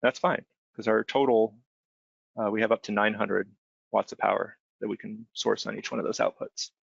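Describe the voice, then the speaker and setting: A man with a heavily fry-laden, lowish voice walks through software like a trainer on a webinar. He explains each step in a conversational way, tossing in little check-in questions. The sound is close and dry, slightly dulled. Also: fairly even intonation, moderate pace, dry recording. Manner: conversational, explanatory, frequent check-in tags like "right?". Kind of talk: informal step-by-step software demonstration. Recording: dull, band-limited close dry voice recording.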